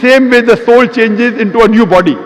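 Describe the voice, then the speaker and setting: A man's voice: extremely high pitch, fairly quick. A man answering an audience question in a public lecture, explaining step by step, citing verses and repeating points in lists. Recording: long hall reverb.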